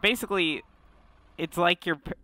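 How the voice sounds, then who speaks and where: A man's voice: sits high, moderate pace, sharp tone, dry recording. A young man hosting a casual group podcast on a call, rambling with lots of fillers. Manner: rambling, many fillers and restarts. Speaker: podcast host.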